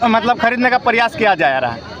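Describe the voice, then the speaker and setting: A man with a very high voice puts casual, direct questions as a reporter. He is outdoors among people, and a clear crowd murmurs behind him. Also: big pitch swings, fairly quick. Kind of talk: interview questions. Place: outdoors at a busy ground. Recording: crowd noise around, outdoors.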